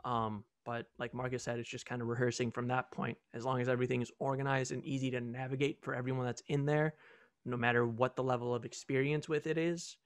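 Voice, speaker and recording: A man's voice: medium pitch, level tone, fast, no room sound, studio quality. A young man answering casually on a video call Q&A as a student audio team member. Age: young adult.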